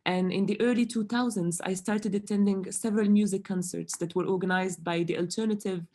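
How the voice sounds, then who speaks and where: Young woman from Morocco, researcher presenting at a conference; mellow, warm tone, measured and careful, pausing often on fillers. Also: medium pitch, fairly even intonation. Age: young adult.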